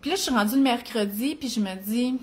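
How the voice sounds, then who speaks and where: A woman's voice: a little high, brisk, a little hiss or hum. A woman in her forties with a Quebec French accent and a somewhat raised voice. She talks to camera in a loose, chatty way, dropping tag questions and little laughs as she goes. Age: 40s. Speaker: mom nutrition author. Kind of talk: casual chat to camera.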